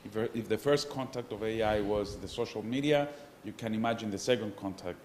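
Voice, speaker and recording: A man with a Cypriot Greek accent, a professor speaking on a conference panel in an earnest, measured way with small restarts. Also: on the low side, a little mellow, a little hiss or hum. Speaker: panelist, professor of international relations. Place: conference hall.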